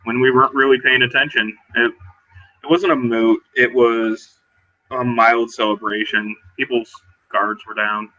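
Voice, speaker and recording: A man playing a weary, guarded but determined werewolf survivor in a tabletop roleplay, speaking haltingly and low-key. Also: flat delivery, pushed and bright, muffled recording. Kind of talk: in-character tabletop roleplay dialogue.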